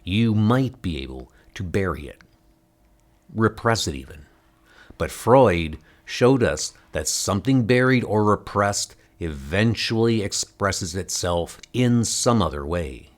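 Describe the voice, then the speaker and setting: A man voicing a grave, earnest older scientist hero in a comic book dub, explaining things deliberately. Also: lowish voice, lively intonation. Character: grave older male scientist hero hunting missing niece.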